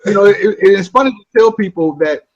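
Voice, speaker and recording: A man's voice: high voice, expressive, fairly quick, lo-fi sound. A man from the Midwest hosting a casual chat, loose and joking. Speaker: host.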